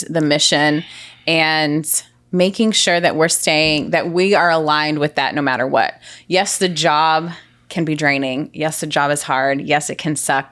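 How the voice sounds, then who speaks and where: A woman answering interview questions as a healthcare director, earnest and impassioned, stacking repeated phrases. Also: low voice. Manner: speaks forcefully, says she has a loud voice. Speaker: healthcare revenue cycle director, guest.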